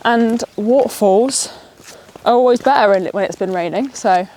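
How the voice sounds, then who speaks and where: A young woman with a Nottingham accent, sniffly and a bit nasal, chatting excitedly as a travel vlogger while out walking. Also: on the high side, moderate pace. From Nottingham, England. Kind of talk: chatty walk-and-talk vlog. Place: outdoors on a countryside walk, rain.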